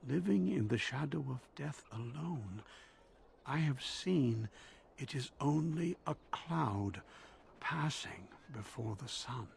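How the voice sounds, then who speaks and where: Casting: elderly, addled holy warrior for fantasy game; heavy creak, swooping melody, dreamy scriptural delivery, some noise. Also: male voice, medium pitch, expressive, takes it slowly, heavily acted. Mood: reverent, distant. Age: older. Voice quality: creaky, breathy in places. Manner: wandering, reciting scripture dreamily. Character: confused, pious old male Templar veteran, mind fading.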